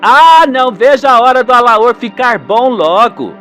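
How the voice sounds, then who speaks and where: A man's voice: very high voice, animated, normal speed, bright, forceful tone, soft background music, pitched above the performer's own voice, exaggerated voice. A man voicing an older rural wife and mother, bossy and fretful, with emphatic colloquial speech. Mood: concerned.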